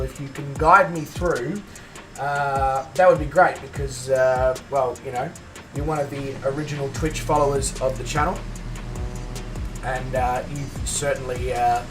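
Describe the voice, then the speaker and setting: A hoarse Australian man recovering from a lost voice, chatting casually with viewers while live-streaming a flight simulator. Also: medium pitch, fairly even intonation, normal speed, far from the mic. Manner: chatty, greets viewers, thinks aloud with many fillers. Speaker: game streamer. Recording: a bit off-mic with music behind.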